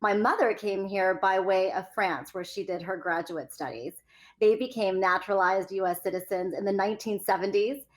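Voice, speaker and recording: A woman from California leads a one-on-one conversation. Her voice is medium-pitched, and her delivery is polished and articulate. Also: moderate pace. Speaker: host. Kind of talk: conversational interview.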